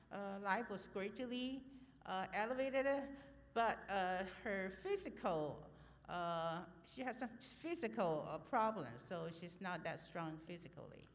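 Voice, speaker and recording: A woman's voice: medium pitch, expressive, slow. A woman interpreting a sermon into English, hesitant and self-correcting with many fillers. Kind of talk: consecutive church interpreting. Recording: long hall reverb.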